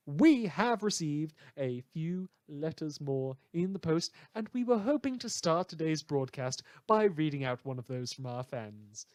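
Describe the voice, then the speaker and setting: A man's voice: fairly high pitch, no room sound. A man playing a glib, sardonic small-town radio news host, with a wordy, showy delivery in a satirical broadcast. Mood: wry, chatty.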